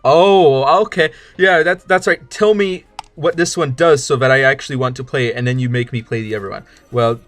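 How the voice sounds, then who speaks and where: A man's voice: medium pitch, moderate pace, hardly any pauses, fairly bright tone, no room sound, faint music behind. A man streaming a card game with casual, rambling commentary, joking around and stumbling over his words as he restarts sentences. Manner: rambling, jokey, stammers and restarts.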